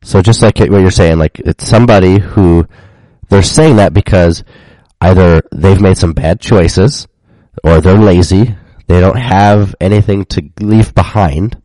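A man with a very low, dark voice, stuffed up and a bit congested from a cold. He talks in an easy, conversational way with fillers, and the sound is dry and close. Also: unhurried, dry recording, poor audio. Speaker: podcast co-host. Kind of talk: casual chat.

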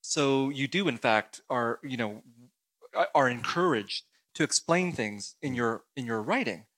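A man, an author from the United States, explaining his views in an animated, emphatic way during an interview. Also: mid-range voice, a little slow. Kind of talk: interview conversation.

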